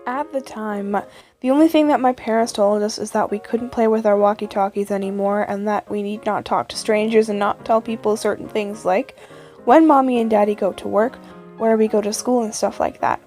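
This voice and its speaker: A woman's voice: mid-range voice, fairly even intonation, moderate pace, a little mellow, faint music behind. A woman narrating a first-person scary story in an informal, conversational way.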